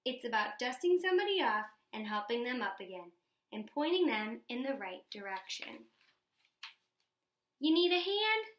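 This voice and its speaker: A woman reading a children's picture book aloud like a teacher, with animated, dramatic emphasis and pauses. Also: a little high. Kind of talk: expressive scripted storybook narration for children. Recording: echoey, roomy sound.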